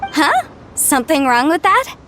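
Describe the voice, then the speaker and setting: A woman's voice: sits high, sing-song, measured pace, fairly bright tone, slight background noise, full character voice. A woman voicing a breezy, confident young female adviser in a video game, chatty and self-assured.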